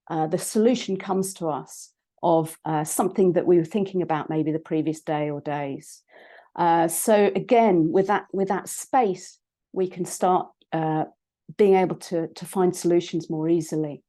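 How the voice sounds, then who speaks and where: A woman with a British accent, a psychologist and coach giving an online talk, croaky from a cold and hesitant, with many ums and restarts. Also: lowish voice, moderate pace, warm tone. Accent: British English.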